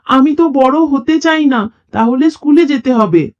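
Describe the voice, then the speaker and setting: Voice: high voice, measured pace, muffled recording, voice pushed up. A storyteller voicing a stubborn little child in a children's tale. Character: stubborn little child dodging food and school.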